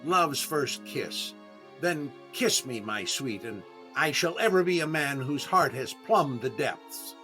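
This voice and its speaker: An actor playing a vain, hapless comedian in 1930s-style radio comedy, with a deliberate, indignant delivery and dramatic pauses. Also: male voice, medium pitch, lively intonation, bright, forceful tone, soft background music. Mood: mock romantic. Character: vain, hapless comedian desperate to prove his sex appeal.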